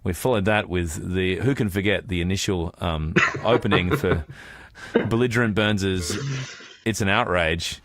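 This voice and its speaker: A man's voice: low voice, measured pace, a bit bright. An Australian man co-hosting a podcast, chatting casually in a discursive, explanatory way. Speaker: podcast co-host.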